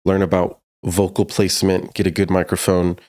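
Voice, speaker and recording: A man with a deep, low-set voice narrates a music production tutorial. He explains each step informally, in a casual teaching style. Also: little pitch movement, moderate pace, a little mellow.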